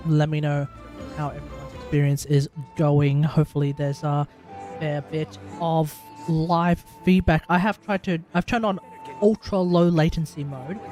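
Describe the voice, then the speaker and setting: Male game streamer, Australian, fairly high voice, casual live chat, faint music underneath. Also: a little mellow.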